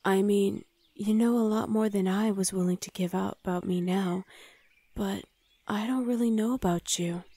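A woman playing a flustered, gentle young demon hell knight in an intimate ASMR roleplay, hesitant and soothing, often slipping into a breathy whisper. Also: mid-range voice, fairly even intonation, moderate pace, no room sound, lightly acted. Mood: shy, warm.

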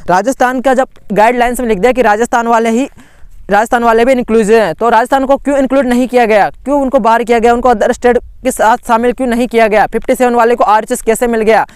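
A man's voice: extremely high pitch, fairly even intonation, quick pace, clear, resonant tone, no echo. A man, urgently walking viewers through admission lists over a screen recording, indignant and full of restarts. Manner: urgent, indignant, many restarts and "theek hai" fillers. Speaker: education channel host.

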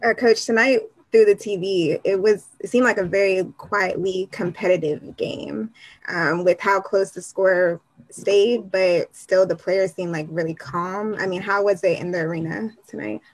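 A woman's voice: medium pitch, steady tone, unhurried, poor audio. A woman working as a sports reporter, asking conversational questions at a press conference.

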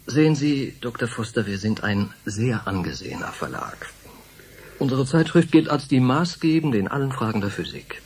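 Radio drama: an evasive, courteous publisher, very lively intonation, unctuous, slight background noise. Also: male voice, mid-range voice, normal speed. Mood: smoothly evasive. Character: polite, fussy, evasive male science publisher. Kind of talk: German radio drama acting.

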